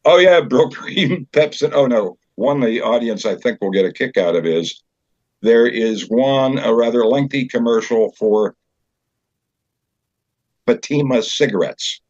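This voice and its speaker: A man explaining a theater show as its director in an interview, in a conversational, halting way. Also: mid-range voice, darkish tone, no echo. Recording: dull, lo-fi sound.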